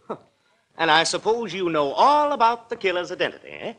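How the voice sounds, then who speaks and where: Jealous, excitable high-voiced suitor. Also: male voice, fairly quick, heavily acted. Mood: suspicious. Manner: huffy, confrontational. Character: jealous, hot-headed male newspaper columnist, jilted fiancé. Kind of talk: 1940s radio drama acting. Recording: noisy vintage 1940s radio recording.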